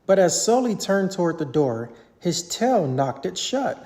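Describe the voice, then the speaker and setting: Animated storybook reader with lively pitch. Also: measured pace. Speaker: parent reading aloud to a child. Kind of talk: animated children's storybook narration.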